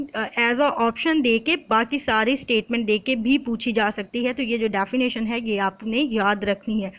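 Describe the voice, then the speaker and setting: A woman with a Pakistani accent giving an explanatory exam lecture as an instructor, mixing in English terms and reading questions out slowly and deliberately. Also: fairly high pitch.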